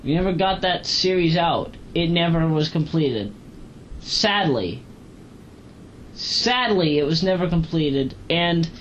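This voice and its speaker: An androgynous, mid-pitched voice rambles casually through an amateur review, repeating phrases and correcting itself as it goes. The recording is muffled home audio, with a faint fan noise behind the speech. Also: fairly bright tone. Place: at home, moving between kitchen and bedroom. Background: fan running.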